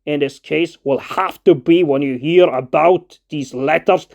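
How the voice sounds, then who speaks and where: A man's voice: fairly high pitch, a little slow, vocal fry, darkish tone, lo-fi sound. A man narrating a scripted film review as a video essay, argumentative and opinionated, in formal, slightly unidiomatic phrasing.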